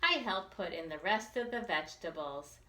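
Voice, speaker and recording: A woman reading a picture book aloud for young children, expressively and with deliberate enunciation. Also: lowish voice, animated, pushed and bright, roomy sound, rough recording. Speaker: children's librarian storytime reader.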